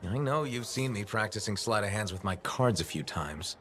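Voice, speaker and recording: Easygoing, lively game hero, dryly sarcastic. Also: male voice, fairly low pitch, normal speed, slight background noise. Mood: teasing, casual. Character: young-adult male freelance mercenary hero, easygoing, dryly sarcastic. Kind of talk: video game dialogue acting.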